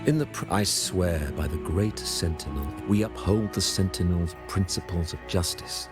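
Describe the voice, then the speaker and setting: An actor playing a solemn, pious religious leader in a fantasy video game, speaking in grave, formal, sanctimonious oratory. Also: male voice, deep voice, normal speed, natural voice. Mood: preaching, resolute. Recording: loud music behind the voice. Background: loud game music.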